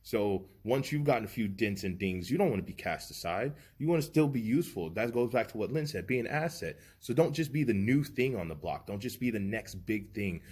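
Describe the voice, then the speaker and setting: A man's voice: on the low side, lively intonation, fairly quick, hardly any pauses, darkish tone, slightly rough. A man in his early thirties talking earnestly and reflectively as a podcast co-host, giving motivational advice. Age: 30s. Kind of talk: casual podcast chat.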